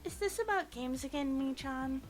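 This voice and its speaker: Woman putting on a high, flustered schoolgirl. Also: normal speed, faint music behind, close to an everyday voice. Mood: curious. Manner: nervous, easily flustered. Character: shy, easily flustered schoolgirl, diligent and cheerful. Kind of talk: visual novel character voice.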